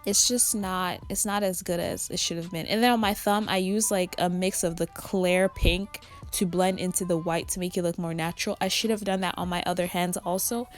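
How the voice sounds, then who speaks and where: A young woman with a raspy, just-woken voice, rambling and self-critical as she narrates a vlog into her phone. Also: fairly low pitch, steady tone, normal speed, few pauses, faint music behind. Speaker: nail art vlogger. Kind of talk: casual voice-over narration.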